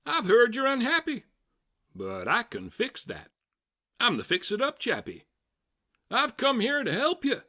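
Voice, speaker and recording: A man's voice: extremely high pitch, huge pitch swings, a little slow, long pauses, bright, forceful tone, voice pushed up, full character voice. A man voicing a sly, eager fix-it salesman creature in a storybook, with grand, persuasive patter. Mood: eager salesman pitch.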